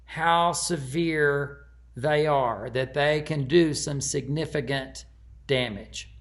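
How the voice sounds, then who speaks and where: Chatty man with a fairly high voice. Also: takes it slowly. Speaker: author giving commentary. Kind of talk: casual conversational commentary.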